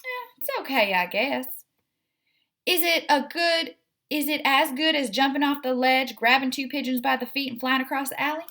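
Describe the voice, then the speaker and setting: A woman voicing a vain, fussy, excitable female pet cat in a prim, dramatic way for a children's storybook. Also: sits high, normal speed, pitched above the performer's own voice. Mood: haughty, curious. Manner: prim, self-admiring, dramatic.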